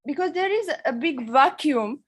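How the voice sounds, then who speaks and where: A woman from Ethiopia asking a panel a question on a video call, halting and earnest with many fillers. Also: high voice, lively intonation. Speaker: audience member asking a question.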